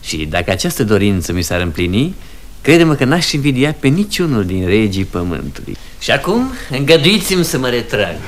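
A man playing a courteous, gallant gentleman in love in a classic Romanian radio comedy, polite and measured, on a noisy vintage recording. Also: mid-range voice, big pitch swings, natural voice. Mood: gallant, earnest.

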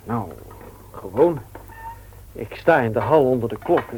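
An actor playing an amiable, slightly sheepish grown-up son in a Dutch radio play, casual and a little hesitant. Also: male voice, mid-range voice, lively intonation, measured pace, lightly acted. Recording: noisy old radio play recording.

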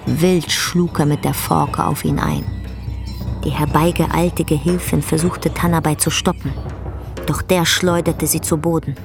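Low-voiced woman narrator over music. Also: fairly even intonation, unhurried, creaky. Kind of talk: literary narration in a radio drama. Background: prominent music.

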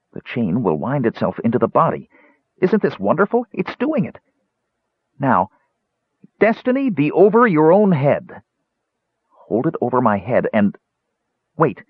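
A man reading aloud voicing a small, excitable male kender with a high, wavering voice. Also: husky, no echo. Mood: alarmed. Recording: tinny phone-like dry sound.

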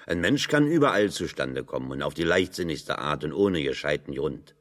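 A stocky metalworker in a radio play, very low in pitch with swinging intonation. He is gruff and blunt, delivering wry, sardonic observations in plain words. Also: expressive, moderate pace, fairly bright tone. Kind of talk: German radio drama dialogue.

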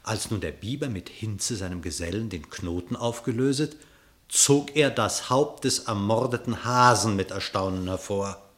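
Deep-voiced man narrating an epic fable. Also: expressive, fairly bright tone. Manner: measured, epic storyteller tone. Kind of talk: scripted storybook narration in verse.

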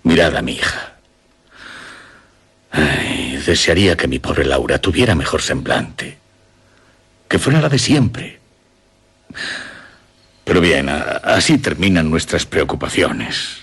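A man playing a courteous, reassuring older father in a radio drama, speaking formal, deliberate Castilian Spanish. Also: very deep voice, sing-song, fairly bright tone, breathy edge, heavily acted. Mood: relieved, fond.

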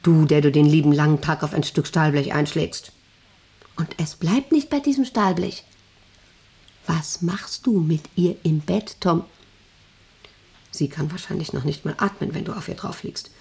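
An actress playing a middle-aged, self-important and lonely factory director in a radio drama, rambling intimately and capriciously with a creaky voice. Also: female voice, low-pitched, lively intonation, normal speed, long pauses, a little mellow. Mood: bitter, accusing. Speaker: actor in an audio drama. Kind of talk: 1990s German radio drama acting, monologue-like.

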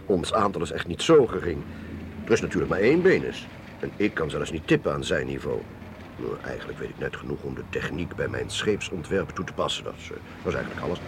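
An actor playing a modest, affable technical expert and captain in a radio play, speaking in an earnest, conversational way. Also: male voice, extremely low pitch, sing-song, brisk, noisy background, exaggerated voice. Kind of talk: Dutch radio drama acting.

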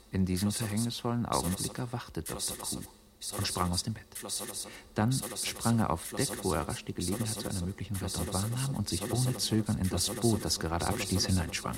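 A man playing a solemn chronicler, reciting in a declamatory, repetitive way in an experimental radio play. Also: low-pitched. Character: solemn male chronicler reciting absurd landscapes and disasters. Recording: music behind the voice.

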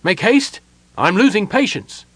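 A man's voice: a little high, very animated, normal speed, no echo, pitched above the performer's own voice. A man voicing a young elf prince in a fantasy audiobook, impassioned, urgent and commanding. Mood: imperious, impatient. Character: young male elf prince, anguished, imperious, impatient.

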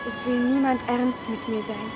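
A woman's voice: a little high, fairly even intonation, normal speed, natural voice. An actress playing an earnest, stubborn girl full of longing in a German radio play. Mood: bitter, wistful. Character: Anne, wistful, stubborn, intense girl longing to leave. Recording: music under the voice.

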